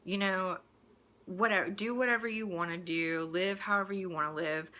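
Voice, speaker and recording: A woman's voice: on the low side, fairly even intonation, normal speed, sharp tone. A woman in a casual, opinionated rant, speaking in a blunt, crude and exasperated manner with mocking asides. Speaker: host delivering a personal rant.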